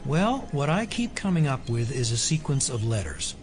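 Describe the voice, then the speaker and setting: A man acting as an earnest, brainy hacker in an audio drama. He explains carefully and technically at a medium pitch, over faint music. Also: big pitch swings, moderate pace, close to an everyday voice. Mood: focused.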